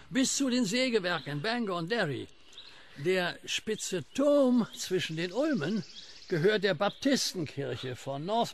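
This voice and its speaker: An actor playing a friendly, knowing old country neighbour in a radio play, gravelly and colloquial. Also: male voice, sits high, a little hiss or hum, exaggerated voice. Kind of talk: German radio play acting.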